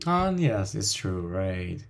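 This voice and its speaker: A man from Taiwan who teaches language, hosting a casual conversation and speaking haltingly, often restarting and repeating words. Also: sits low, very animated, vocal fry, slight background noise.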